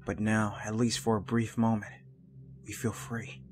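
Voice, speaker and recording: An actor playing a dutiful, conflicted angel soldier, earnest and brooding, in a visual novel game. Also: male voice, on the low side, flat delivery, a little slow, natural voice. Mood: reflective, anxious. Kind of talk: visual novel game acting and inner monologue. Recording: loud music over the voice. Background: music.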